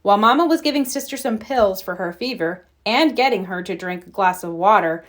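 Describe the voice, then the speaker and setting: A woman's voice: medium pitch, lively intonation, a little fast. Woman reading a children's story aloud. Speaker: storybook reader.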